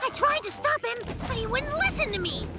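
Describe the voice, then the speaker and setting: Squeaky, boastful little bear cub boy. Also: fairly quick. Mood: sheepish fibbing. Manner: excitable, dramatic storytelling. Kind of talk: children's cartoon dub acting. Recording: music behind the voice.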